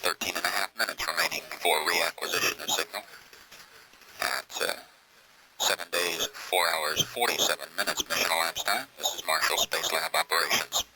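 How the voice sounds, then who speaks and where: Very low-voiced man, noisy mission feed. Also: steady tone. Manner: halting, measured announcing. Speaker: mission operations commentator. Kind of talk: live mission status commentary. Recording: noisy 1980s space mission audio feed.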